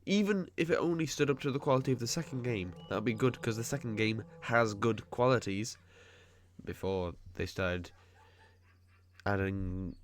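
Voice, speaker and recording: A man with a cold and a blocked, nasal voice, chatting casually while commenting on a game he is playing. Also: mid-range voice. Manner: nitpicking, chatty, quick asides. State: stuffy nose from a cold. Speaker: gaming video host.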